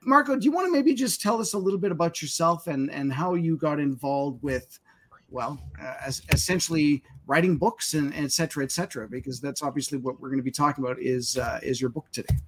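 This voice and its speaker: Guy hosting a live webinar, kinda high voice, friendly and loose, lots of uh. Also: fairly quick, slight background noise. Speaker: webinar host.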